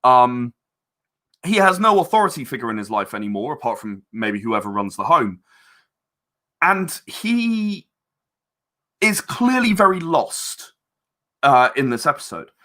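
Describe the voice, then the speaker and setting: A man being interviewed as a guest, explaining his creative work in a casual, halting way and repeating words as he thinks. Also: mid-range voice, sing-song, bright, forceful tone. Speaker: interview guest, audio drama director and voice actor.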